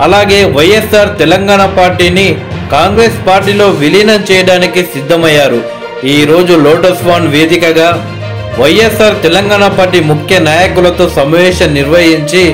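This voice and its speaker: A man's voice: high voice, a bit bright. A man presenting political news as a scripted narration.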